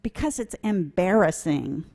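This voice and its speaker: A woman's voice: on the low side, expressive, measured pace, darkish tone. A woman voicing a sensible, fed-up female vulture in a children's story read aloud, blunt and exasperated. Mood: upset.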